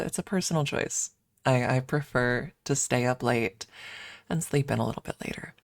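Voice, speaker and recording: A woman streamer chatting casually in a soft, hesitant ASMR style with playful self-deprecation, close to the microphone. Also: sits low, no room sound. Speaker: ASMR livestreamer. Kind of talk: ASMR, casual rambling chat.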